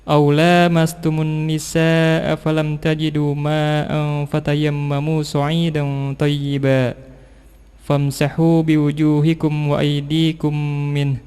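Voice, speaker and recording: A man reciting scripture in a measured Quran recitation style. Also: a little high, completely flat delivery, darkish tone, clear, resonant tone. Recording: long hall-like reverb.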